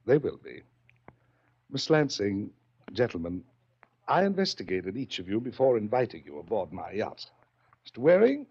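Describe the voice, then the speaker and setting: Gravelly, cultured villain. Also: male voice, lowish voice, huge pitch swings, full character voice. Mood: urbane, measured. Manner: urbane, deliberate, faintly menacing politeness. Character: charming, cultured big iron-haired man, menacing yacht host. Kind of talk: 1940s radio acting. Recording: dull old radio recording.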